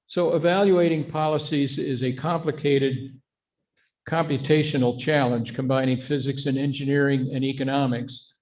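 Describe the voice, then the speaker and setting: A middle-aged or older man giving an informal, explanatory talk to a congregation in an earnest, measured way. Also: fairly high pitch, darkish tone. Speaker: guest speaker at a congregation service.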